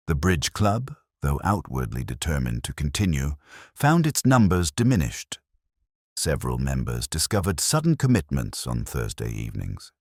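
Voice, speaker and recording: A male narrator reads a mystery aloud in a wry, stately storytelling manner. His voice is extremely deep yet lively in its pitch. The recording is dry and close, with no room sound. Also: no room sound. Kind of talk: scripted audiobook narration.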